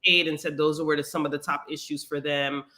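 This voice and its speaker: Bright-voiced man hosting an interview. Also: fairly high pitch, little pitch movement, fast talker. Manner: hesitant, many fillers when asking questions. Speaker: podcast host. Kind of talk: casual interview.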